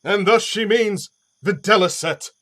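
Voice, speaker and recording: Audio-play role: teasing aristocrat, acted by a man, lightly high male voice, wry wit, classical comedy. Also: unhurried, a bit bright. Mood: dry mockery. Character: witty, mocking nobleman heckling a play. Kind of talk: Shakespearean audio play acting.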